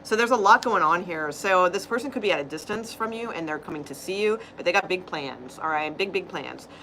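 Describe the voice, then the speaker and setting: A woman's voice: fairly low pitch, expressive, brisk, bright, forceful tone, a little hiss or hum, rough recording. A woman doing a casual, rambling live tarot reading, laughing often and losing her voice. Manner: rambling, chatty, laughs often, frequent fillers. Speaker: tarot reader.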